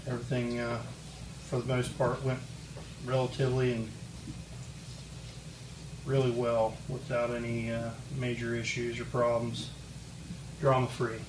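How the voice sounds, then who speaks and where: Man reading council motions, flat tone. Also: medium pitch, measured pace, long pauses. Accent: Ohio. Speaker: council member presenting resolutions. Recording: echoey room, far mic, background noise.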